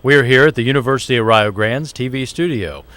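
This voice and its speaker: A man's voice: mid-range voice, a little fast, bright, forceful tone, no room sound. A man hosting a business talk show from a university TV studio, introducing guests in an upbeat, chatty way. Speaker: show host, small business center director.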